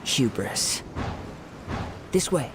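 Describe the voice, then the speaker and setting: Composed, courteous male game guide. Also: background noise. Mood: calm, assured. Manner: formal, measured, articulate. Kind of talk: video game voice acting.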